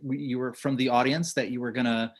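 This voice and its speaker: A man's voice: medium pitch, steady tone, a little fast. A man moderating a panel on a video call, politely handing over to speakers. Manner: polite, brief.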